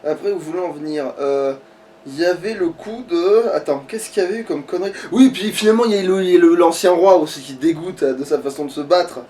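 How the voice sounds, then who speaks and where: A man's voice: a little high, fast, dark tone, a little hiss or hum. A man chatting casually about a video game in crude, slangy language full of swearing. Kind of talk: casual gaming chat. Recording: distant from the mic.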